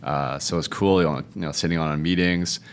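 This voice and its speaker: Young male guest, Cantonese first language, extremely low voice, loose and chatty delivery. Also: a little fast, clear, resonant tone. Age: 20s. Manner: relaxed, rambling, fond of the tag "if you will, right?". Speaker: podcast guest, realtor. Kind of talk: casual interview chat.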